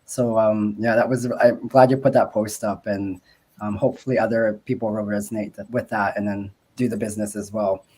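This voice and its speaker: A man in his forties, from the Philippines, talking on a video call with a voice gone raspy from a cold, rambling with many fillers. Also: on the low side, level tone, fairly quick, darkish tone. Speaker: guest asking questions. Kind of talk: casual video call chat.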